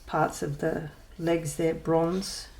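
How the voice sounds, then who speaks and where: A woman's voice: low-pitched, flat delivery, slow, vocal fry, a little mellow. A woman chatting casually in a show-and-tell vlog, rambling and hesitant with many ums and self-deprecating asides. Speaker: colouring channel host.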